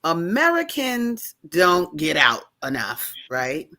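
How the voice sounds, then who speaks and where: A woman host talks over a video call. Her voice is bright and forceful. She rambles through long, lively questions, circling back on herself as she goes. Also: lowish voice, takes it slowly. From New York. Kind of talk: casual interview question.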